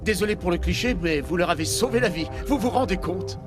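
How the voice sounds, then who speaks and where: Casting: plain-spoken game medic, fairly high voice, earnest, music behind. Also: male voice, lively intonation, a little fast. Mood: grateful. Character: earnest, grateful male doctor in a research lab. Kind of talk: dubbed video game dialogue.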